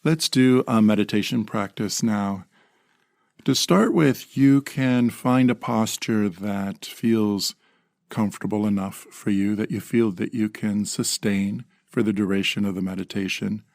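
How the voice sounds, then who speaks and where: A man calmly leading a guided meditation in measured phrases with long pauses. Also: fairly low pitch, creaky, no room sound, studio quality.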